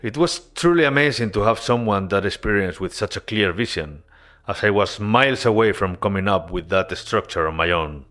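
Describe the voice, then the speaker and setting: A man narrating his game-development devlog as a voice-over, earnest and relaxed, with casual asides. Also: on the low side, normal speed.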